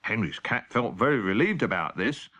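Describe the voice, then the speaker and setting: A man narrating a children's audiobook as an expressive, theatrical storyteller. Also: on the low side, moderate pace.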